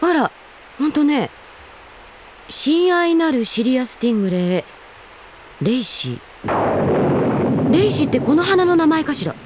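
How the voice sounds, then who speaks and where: A woman's voice: high-pitched, sing-song, a little slow, pauses often, background noise. An actress in a Japanese anime audio drama playing a grown elder sister who teases her brother and yearns for her lost parents. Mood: teasing, playful. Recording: studio drama with music behind.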